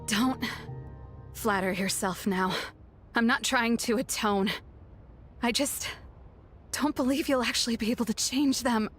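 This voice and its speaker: Proud defiant game turncoat, hushed n slow, cool detached, faint music behind. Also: female voice, on the high side. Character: calm defiant woman, a turncoat leaving her sect, bitterly proud. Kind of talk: video game voice acting.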